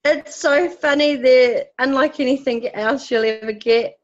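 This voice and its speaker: A woman from New Zealand's far north with a New Zealand accent, a netball team organiser answering interview questions in a relaxed, friendly way. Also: high-pitched, unhurried, muffled recording. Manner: relaxed, friendly, some ums.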